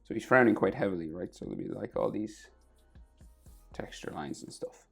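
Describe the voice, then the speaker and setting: A man with a sore throat and a crackly, gravelly voice, talking casually through a drawing tutorial, often trailing off to a near whisper. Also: lowish voice, expressive, moderate pace, soft background music.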